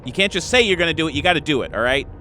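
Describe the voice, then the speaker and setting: An angry, confrontational character acted by a man in a tabletop role-playing game. The voice is pushed up high and comes out loud, scornful and insistent, challenging the listener in blunt, informal language. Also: animated, very fast, a little hiss or hum. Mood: angry, demanding.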